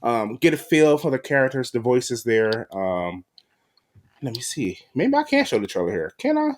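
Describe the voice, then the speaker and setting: A man's voice: mid-range voice, very animated, normal speed, a little hiss or hum. A man hosting a podcast in casual, slangy, promotional chat. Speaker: podcast host and voice actor.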